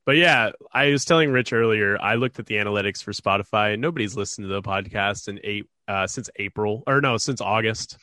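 A man's voice: on the low side, brisk, hardly any pauses, bright, forceful tone, no room sound. A stuffed-up man hosting a casual sports podcast, rambling with lots of fillers. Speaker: podcast host.

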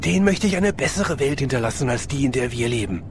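An actor playing an easygoing, devoted police sergeant in an audio drama. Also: male voice, mid-range voice, lively intonation, quick pace, a little hiss or hum, close to an everyday voice. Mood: earnest, soothing.

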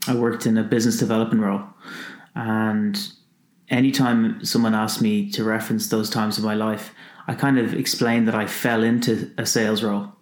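A man's voice: fairly low pitch, level tone, moderate pace. A man hosting a podcast, speaking casually with many fillers and restarts. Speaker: podcast host. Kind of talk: casual interview talk. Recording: roomy echo.

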